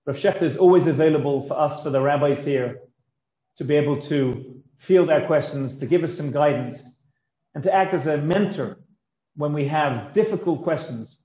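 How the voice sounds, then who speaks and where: A man giving a formal introduction as host of a conference, with halting, hesitant delivery. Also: mid-range voice, mellow. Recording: echoey, slightly dull audio.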